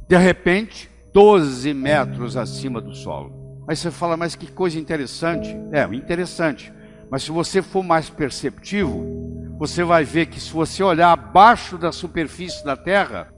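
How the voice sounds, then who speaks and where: Older brazilian guy, motivational speech, slightly high voice, big reverby hall, faint music underneath. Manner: emphatic, slows down to stress key phrases. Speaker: motivational speaker. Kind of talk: motivational talk. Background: faint background music.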